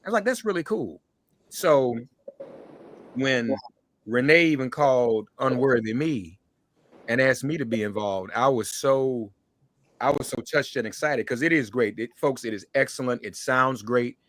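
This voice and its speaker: A man's voice: medium pitch, animated, unhurried, a bit bright. A man hosting a conversational talk show interview, speaking effusively and often repeating his phrases.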